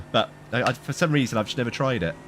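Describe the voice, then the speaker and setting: A man's voice: mid-range voice, animated, brisk, sharp tone, a little hiss or hum. A man chatting casually while sim racing with teammates, a bit stuffy from hay fever, rambling and laughing easily.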